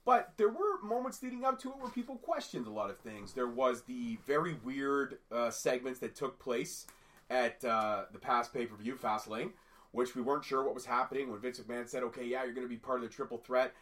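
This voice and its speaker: A man with a voice gone raspy from a cold co-hosts a casual chat podcast. He talks at length and rambles in an animated way, with a pitch that sits in the middle for a man. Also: fairly quick. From Canada. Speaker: podcast co-host.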